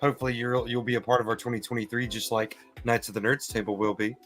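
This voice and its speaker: A man's voice: mid-range voice, flat delivery, fast talker, a bit bright. A man hosting a casual live-streamed fan chat, explaining things in an enthusiastic, rambling way. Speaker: podcast host.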